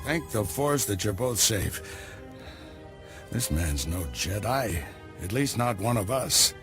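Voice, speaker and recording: An actor playing an older male Jedi Master in a video game, with a calm, reassuring manner. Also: lowish voice, slow pace. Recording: game cutscene with music behind.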